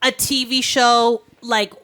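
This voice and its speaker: A woman in her thirties co-hosting a podcast, chatty and lively in casual conversation. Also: a little high, takes it slowly, clear, resonant tone. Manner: lively, chatty, slangy, often laughing. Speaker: podcast co-host. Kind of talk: casual chat.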